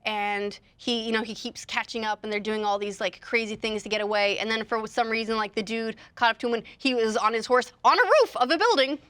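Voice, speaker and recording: Higher-pitched woman in casual discussion. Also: a little fast, hardly any pauses, a bit bright, clean tone. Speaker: panelist on a video game movie show.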